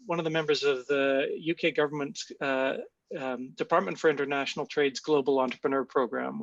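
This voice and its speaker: A man's voice: on the high side, fairly bright tone, dry recording. A man hosting a fireside chat, introducing himself and his guest with many hesitations. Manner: hesitant, many uhs and restarts. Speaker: host, government dealmaker. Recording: dull, dry lo-fi sound.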